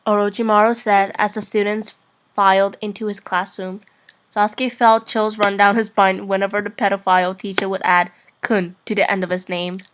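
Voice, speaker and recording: A woman's voice: medium pitch, flat delivery, normal speed, a bit bright, a little hiss or hum. A woman narrating fan fiction in an informal, amateur reading-aloud style. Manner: giggly, informal.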